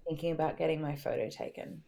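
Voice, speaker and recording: A woman's voice: low-pitched, steady tone, fast, gravelly, mellow. A woman client in a healing session, repeating affirmations after a guide in short, subdued phrases.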